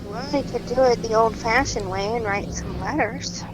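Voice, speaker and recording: An actress playing a supportive brain-injury therapist, gently explaining and encouraging in a naturalistic audio drama. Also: female voice, fairly high pitch, moderate pace, background noise, close to an everyday voice. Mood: gentle, reassuring.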